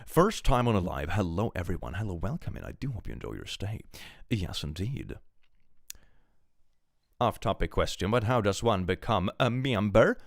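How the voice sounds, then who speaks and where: A young man streaming live with a powerful voice, reading posts aloud and chatting casually, often jumping into excited exclamations. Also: normal speed, vocal fry, no room sound, studio quality. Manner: animated, jumps into high excited exclamations.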